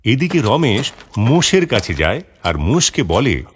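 A man's voice: lowish voice, sing-song, a little slow, slight background noise. A man narrating a scripted moral story for children. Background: background music.

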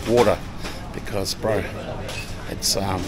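A man's voice: deep voice, fairly even intonation, slow pace, background noise. A man in his forties chatting casually as a travel vlogger, upbeat and friendly, filming himself with a handheld camera outdoors. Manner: upbeat, chatty, calls people brother. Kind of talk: casual travel vlog chat. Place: busy city street and convenience store. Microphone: action camera held at arm's length.

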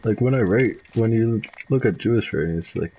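A man's voice: fairly low pitch, fairly quick, dull audio, a little hiss or hum. A man chatting offhand with teammates over voice chat during an online game. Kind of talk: casual gaming voice chat.

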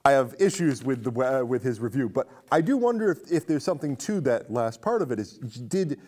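A man's voice: mid-range voice, very animated, a little fast, darkish tone. A man in his thirties hosting a casual film discussion, talkative and enthusiastic. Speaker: host of a film discussion show. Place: indoor studio stage with curtain.

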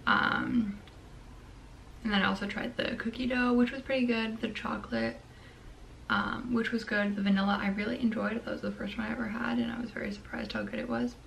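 A woman's voice: fairly high pitch, fairly even intonation, brisk, vocal fry, a bit bright. Crackly-voiced young woman vlogging. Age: young adult. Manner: chatty, eating ice cream while talking. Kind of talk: casual chat to camera. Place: small bedroom, indoors.

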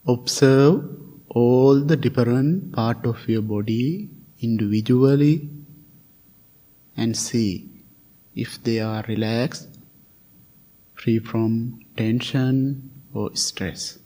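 Slow, mellow man guiding meditation. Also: medium pitch. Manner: gentle, soothing, spaced short phrases. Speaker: meditation teacher, Buddhist monk. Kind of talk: guided meditation.

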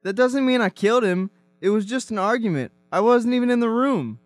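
A man's voice: very high-pitched, expressive, brisk, dry recording, faint music behind. A young man playing a panicky, argumentative friend in a student radio drama. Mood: defensive. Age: young adult.

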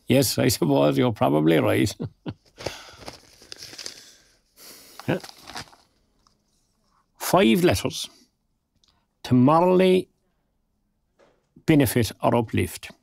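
A man's voice: medium pitch, lively intonation, slow, gravelly, pauses often, darkish tone, no room sound, full character voice. An actor playing a proper, old-fashioned retired Irish working man, older and plain-spoken, in an audio drama. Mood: mild, proper. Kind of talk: naturalistic audio drama dialogue.

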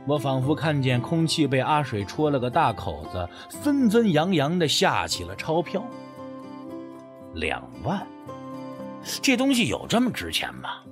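Actor as skeptical storyteller man, very animated delivery. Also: medium pitch, measured pace, music in the background. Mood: skeptical, teasing. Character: skeptical, sardonic man narrating a folk ghost story. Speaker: narrator and first-person lead of an audio novel. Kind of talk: audiobook storytelling with acted dialogue.

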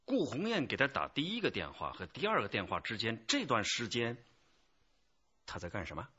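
Shrewd police chief, wildly varied pitch. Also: male voice, sing-song, normal speed, bright, forceful tone, slightly rough, highs cut off, full character voice. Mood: brisk, commanding. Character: shrewd, commanding male police detective chief, genial and teasing. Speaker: actor in a radio drama. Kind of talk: Chinese police radio drama acting.

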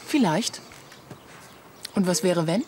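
An actress playing a middle-aged wife and mother, sharp-tongued yet fond, in a German radio play. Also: on the low side, animated, takes it slowly, slight background noise. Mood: pointed.

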